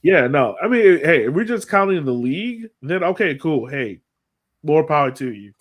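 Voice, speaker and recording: A man hosting a sports podcast in casual chat, animated and often repeating phrases for emphasis. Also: fairly high pitch, moderate pace. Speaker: podcast host.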